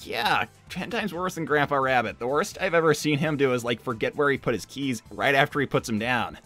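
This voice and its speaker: Bright, slangy teen hedgehog hero voiced by a man. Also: mid-range voice. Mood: casual, explaining. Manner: casual, halting, exasperated, slangy. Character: cocky but kind teenage male hedgehog hero. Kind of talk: improvised character roleplay on a livestream. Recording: faint music behind.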